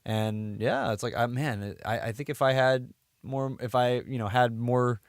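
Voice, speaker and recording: A man's voice: medium pitch, normal speed, no room sound, clean studio sound. An American man, around his thirties, chatting casually as a podcast co-host and rambling as he trails off mid-thought. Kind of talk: casual chat.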